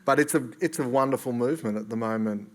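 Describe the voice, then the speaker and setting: A man's voice: mid-range voice, fast talker. Easygoing Australian man moderating. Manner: relaxed, casual, steering questions. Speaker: panel facilitator. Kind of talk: moderating a panel.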